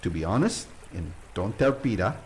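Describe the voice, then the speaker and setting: A man playing a cheerful, boastful adventure guide with a Latin American Spanish accent, earnest and halting as he searches for words in a comic mockumentary. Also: low-pitched, animated, darkish tone. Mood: eager, earnest.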